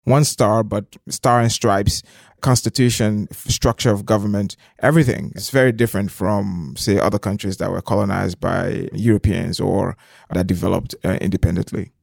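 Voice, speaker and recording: A man's voice: on the low side, fairly even intonation, normal speed, gravelly, darkish tone, no room sound, studio quality. A middle-aged man from Liberia, explaining things conversationally as an interview guest. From southeastern Liberia.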